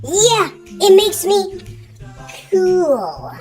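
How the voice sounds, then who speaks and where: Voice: extremely high pitch, wildly swooping pitch, drawn-out words, faint music behind, full character voice. A performer playing a bratty, defiant kid in a comedic family skit, sassy and taunting. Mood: smug, taunting. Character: bratty, cocky, defiant kid talking back to parents.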